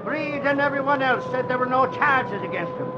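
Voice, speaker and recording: An eager, vindictive pursuer in a 1940s radio drama. His voice is strikingly high and excited, almost buried in loud engine noise on a dull recording. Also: moderate pace.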